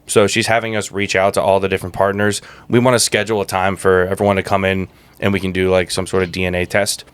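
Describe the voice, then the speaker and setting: A man's voice: low-pitched, flat delivery, quick pace, clear, resonant tone, dry recording, natural voice. A man playing a nervous, polite clinic worker on his first day, hesitant and rambling on a prank call.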